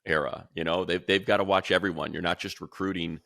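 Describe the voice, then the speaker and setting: Middle-aged male announcer type: deep, bursts into animated, excitable analysis. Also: a little fast, vocal fry, dry recording, studio quality. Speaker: radio play-by-play announcer and co-host. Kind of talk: casual sports chat.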